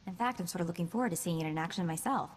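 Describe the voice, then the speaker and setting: A woman voicing a quick-witted, sardonic young female hacker in a space video game, chatty in manner. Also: lowish voice, a little hiss or hum, close to an everyday voice. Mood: playful, teasing.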